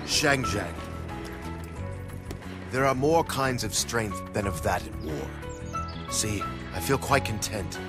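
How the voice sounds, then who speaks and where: A commanding warlord with sweeping delivery. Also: male voice, lowish voice, lively intonation, slow, pauses often, loud music behind the voice. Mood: calm, resolute. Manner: commanding, declamatory. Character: proud, bold middle-aged warlord and father. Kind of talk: dramatic English video game cutscene acting.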